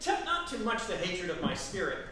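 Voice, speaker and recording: A man playing a harsh, exasperated young man in a forceful, theatrical staged reading of Shakespearean verse. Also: high voice, wildly swooping pitch, moderate pace, full character voice. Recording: echoey room from far off, faint noise.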